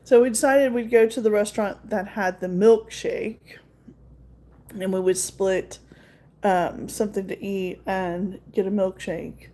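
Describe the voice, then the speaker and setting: A woman's voice: medium pitch, fairly even intonation, measured pace, mellow. A woman with a Southern accent, casually chatting with her viewers on a livestream in a rambling way, full of fillers. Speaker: livestream host.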